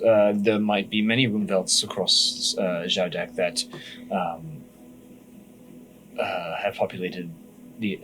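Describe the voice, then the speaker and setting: A man voicing a polite, inquisitive investigator in a tabletop game, speaking in a careful, halting, formal way. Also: lowish voice, a bit bright, a little hiss or hum, lightly acted, poor audio.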